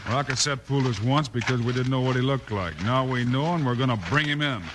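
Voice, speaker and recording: Male actor as steady frontier lawman, American accent, mid-range voice, clipped commanding manner, 1950s radio western, clearly hissy recording. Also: medium pitch, a little fast, close to an everyday voice. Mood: resolute. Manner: terse, authoritative. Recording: noisy old radio recording.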